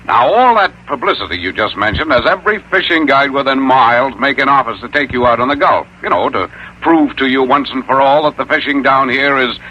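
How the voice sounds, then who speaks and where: A man's voice: mid-range voice, animated, fairly quick, sharp tone. An actor playing an eager, coaxing American insurance man in an old-time radio drama. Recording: dull, faintly noisy vintage radio recording.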